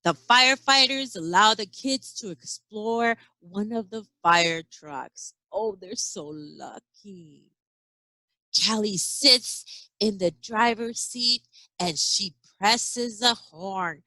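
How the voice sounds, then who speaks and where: A woman's voice: medium pitch, slow pace, sharp tone, dry recording. A woman teacher reading a children's picture book aloud expressively, with chatty asides and questions to young listeners. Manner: acts out sound effects and exclamations. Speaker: early education teacher.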